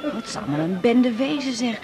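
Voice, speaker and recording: A woman's voice: fairly high pitch, lively intonation, moderate pace, over music. An actress playing a fond, slightly fussy middle-aged mother of the bride in a radio drama, with a Netherlands Dutch accent. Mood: chatty, fussing.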